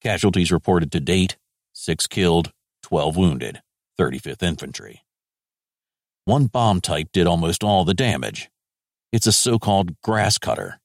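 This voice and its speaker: A man's voice: deep voice, no echo, clean studio sound. A man playing an American major general of the Second World War, reading his war diary in clipped, terse phrases. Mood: matter-of-fact.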